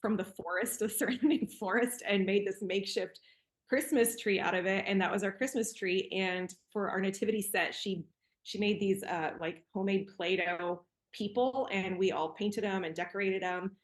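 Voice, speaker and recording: A woman in her forties, raised in Cameroon, speaks at a middling pitch as she reminisces. Her delivery is relaxed and conversational, with fillers and soft laughs along the way. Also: moderate pace. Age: 40s. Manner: warm, easygoing, with fillers and laughs. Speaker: podcast guest. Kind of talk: casual reminiscing.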